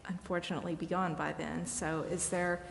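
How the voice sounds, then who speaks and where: Creaky-voiced American woman in echoey hall. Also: fairly low pitch, flat delivery, moderate pace. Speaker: city council member. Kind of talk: informal remarks at public meeting. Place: council chamber. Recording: echoey hall, faint background noise.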